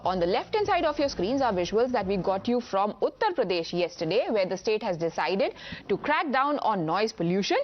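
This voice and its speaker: A woman's voice: mid-range voice, lo-fi sound. A woman with an Indian English accent, presenting television news from a studio in a pointed, argumentative way. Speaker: news anchor.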